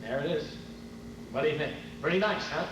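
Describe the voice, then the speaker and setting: A man's voice: fairly high pitch, animated, brisk, close to an everyday voice. A man playing a grumbling, put-upon husband who protests, in old-time radio comedy style on stage. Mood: weary, complaining. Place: convention stage before an audience. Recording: echoey noisy hall, distant microphone.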